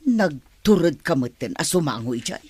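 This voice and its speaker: Blunt, animated older mansion servant. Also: female voice, deep voice, moderate pace, breathy edge. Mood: indignant. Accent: Ilocano. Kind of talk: Ilocano radio drama acting.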